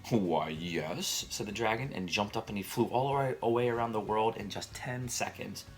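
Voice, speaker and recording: A man reading aloud to children at bedtime, expressive and emphatic, speaking directly to his young listeners. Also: lowish voice, brisk. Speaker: storybook reader.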